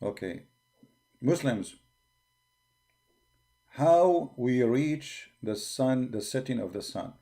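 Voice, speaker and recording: A man hosts a live stream and argues with his viewers in a combative, mocking way. His voice has a dark, mellow tone and sits in the middle of the range for a man. He addresses the audience directly as he talks. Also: mid-range voice, lively intonation, slow, pauses often. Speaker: host of a live debate stream. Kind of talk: live-stream commentary and rebuttal.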